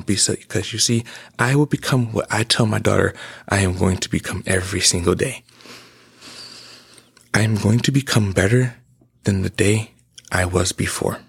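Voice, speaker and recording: A man's voice: medium pitch, creaky, breathy edge. A man in his twenties giving an emphatic, preachy personal monologue as a podcast host. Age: 20s.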